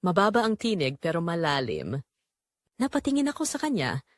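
A woman's voice: lowish voice, huge pitch swings, normal speed, no echo. A woman narrating a dramatic romance story in the first person.

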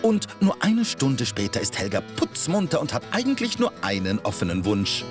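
A man's voice: medium pitch, huge pitch swings, moderate pace. A man narrating a reality show in a wry, playful, teasing voice-over. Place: voice-over studio. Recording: music bed behind voice-over.

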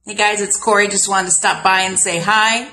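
A middle-aged woman with a bright, forceful voice speaks casually to children in a short video message. She is recorded in an office, close to the camera. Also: mid-range voice, flat delivery, normal speed, slight background noise. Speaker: guest greeting church children. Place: office with large windows, indoors.

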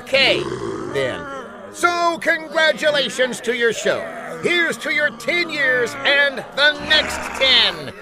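A scheming, self-important news reporter played by a man in a spoof radio drama. His voice is extremely high for a man and swoops about, with music clearly behind it. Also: expressive, slow, exaggerated voice. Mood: nervous cheer. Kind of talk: comedy radio play, on-the-spot report.

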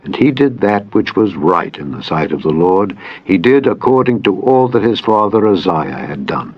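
A man reading scripture as a dramatized audiobook narration, solemn and measured, with deliberate phrasing. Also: fairly low pitch, expressive. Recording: dull band-limited audio with music behind. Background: background music.

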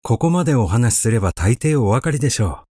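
A man's voice: medium pitch, very animated, quick pace, dark tone, dry recording, studio quality. A man voicing a male acquaintance who recounts an eerie legend in a reflective, explanatory way for an audiobook. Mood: reflective, sympathetic.

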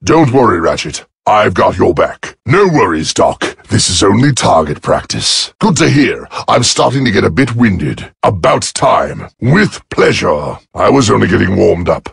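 An actor playing a cocky, gruff, battle-loving giant robot soldier in a video game, raspy and gravelly, with a swaggering, wisecracking delivery. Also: male voice, on the low side, big pitch swings, unhurried, hardly any pauses, no room sound, clean studio sound, exaggerated voice. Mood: confident.

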